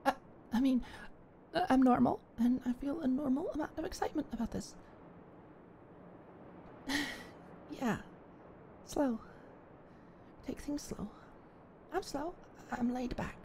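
A high-voiced young woman talks close to the mic in a shy, halting way, stumbling over her words and now and then slipping into a soft whisper. A gravelly fry runs under her voice. Faint ambient sound sits behind her. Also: slight background noise. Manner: shy, stammering, flustered. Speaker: ASMR roleplay performer. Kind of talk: ASMR roleplay, one-sided dialogue.

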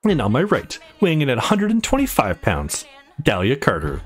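A man voicing a brisk, official competition announcer in a game, with declamatory delivery. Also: medium pitch, wildly swooping pitch, faint music behind. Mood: rousing.

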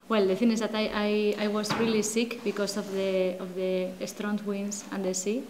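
A woman's voice: mid-range voice, darkish tone, reverberant, a little hiss or hum, rough recording. A woman answering interview questions casually, hesitant and restarting her sentences. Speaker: newcomer interviewee.

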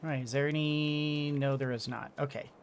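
Higher-voiced man muttering through gameplay. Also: steady tone, normal speed, a little hiss or hum. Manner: thinking aloud, muttering to himself. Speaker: let's play host. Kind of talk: casual gameplay commentary. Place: small indoor home room. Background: game music.

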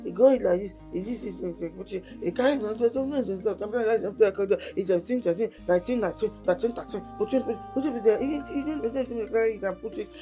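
A woman's voice: mid-range voice, fast talker, few pauses, over music, lightly acted. An actress with a Nigerian accent playing a mentally disturbed, childlike young woman grieving in a film drama, rambling and repeating herself. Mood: muttering, confused. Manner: repetitive, rambling, childlike speech.

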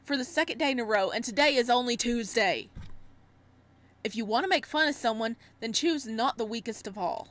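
A woman plays a young student from a visual novel who has had enough. The voice is high and forceful. The delivery is indignant and scolding. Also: fairly quick, natural voice.

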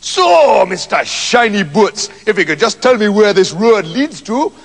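A man's voice: high voice, very animated, unhurried, gravelly, long reverb tail, heavily acted. A man doing a cocky, rude bully hero. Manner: cocky and bossy. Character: boastful, rude, cheerfully violent male hero.

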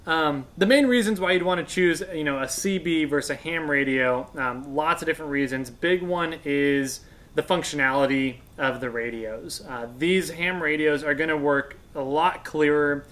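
Male expert guest, 30s, American, slightly high voice, relaxed informal explainer. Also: moderate pace. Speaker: guest radio expert and instructor. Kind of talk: casual explanation. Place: indoors on a couch in a workshop room.

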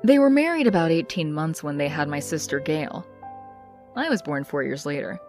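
Young female comic-book heroine woman actor, confiding and reflective, animated pitch, music bed. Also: normal speed. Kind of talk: dramatic scripted comic dub narration.

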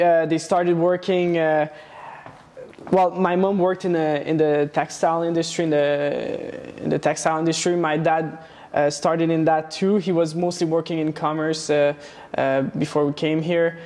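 A young man from Romania, a guest in a studio interview, talking casually with many pauses and restarts. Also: a little high, a little mellow. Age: young adult. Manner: hesitant, many fillers and restarts. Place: studio set with plant wall and neon sign. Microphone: lapel mic.

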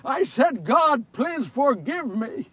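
Recorded from some distance, a man acts out a remorseful fisherman disciple in a first-person biblical stage monologue. His voice sits high for a man and has a husky grain. The delivery is earnest, confessional and theatrical, that of an anguished follower owning up to his failings. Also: lively intonation, moderate pace, full character voice. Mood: anguished, loud. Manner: earnest, confessional, theatrical, swinging from hushed to shouting. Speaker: solo storyteller actor. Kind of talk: first-person dramatic monologue of a Bible story. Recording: distant microphone.

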